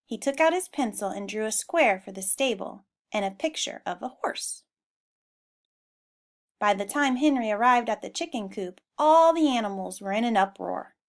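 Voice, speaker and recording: A woman reading a children's storybook aloud in an expressive style. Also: fairly high pitch, normal speed. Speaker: storybook reader.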